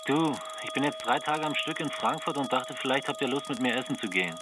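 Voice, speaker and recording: A man playing a smooth, probing travelling buyer in a naturalistic radio drama, with a creaky voice. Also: medium pitch, fairly quick, background noise, natural voice. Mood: insistent. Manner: probing, insinuating. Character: smooth, insinuating travelling buyer, a propositioning businessman.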